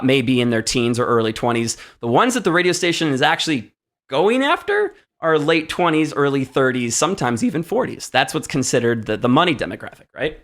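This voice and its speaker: A man's voice: a little high, fairly bright tone. A man hosting a talk show, giving conversational commentary in an emphatic, explanatory way and often checking in with rhetorical questions. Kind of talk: conversational music industry commentary.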